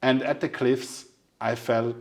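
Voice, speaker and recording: A man hosting a podcast, speaking softly and hesitantly, with many fillers as he shares a memory. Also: medium pitch, steady tone, slow. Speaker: podcast host. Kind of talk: casual conversation.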